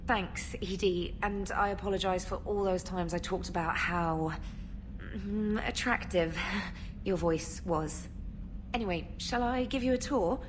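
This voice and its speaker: A woman's voice: mid-range voice, a little slow, background noise, close to an everyday voice. An actress playing a polite, slightly nervous female military communications specialist in a video game. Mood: embarrassed. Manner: polite, formal, slightly flustered. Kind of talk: video game character dialogue.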